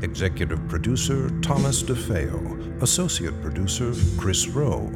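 A male announcer reads scripted radio links and credits. His voice is fairly low and his delivery slow, riding over loud music. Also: sing-song.

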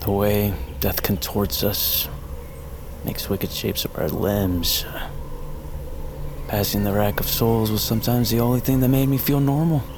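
Slow, brooding young outcast. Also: male voice, on the low side, steady tone, noisy background. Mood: melancholy. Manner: halting, melancholy, intense. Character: brooding, wounded young outcast of mountain folk, finding resolve. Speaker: lead actor in an audio drama. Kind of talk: fantasy audio drama acting. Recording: loud music behind the voice. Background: dramatic music.

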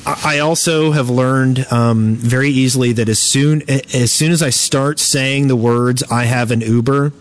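A man's voice: medium pitch, steady tone, moderate pace, hardly any pauses. Rambling male podcast host at a con. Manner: rambling gaming story with laughs and acted-out quotes. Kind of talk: casual chat. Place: convention room, live podcast.

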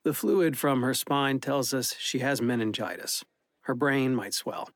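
A man's voice: medium pitch, a little slow, no echo, clean studio sound, lightly acted. A man playing a grave doctor delivering bad news in an audiobook drama. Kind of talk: full-cast audiobook acting.

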